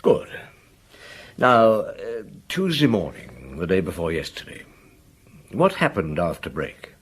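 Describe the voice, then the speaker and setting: A man playing a patient, probing chief inspector in a radio drama, questioning deliberately with musing hums. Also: low-pitched, wildly swooping pitch, breathy edge, exaggerated voice. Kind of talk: radio drama acting and first-person narration.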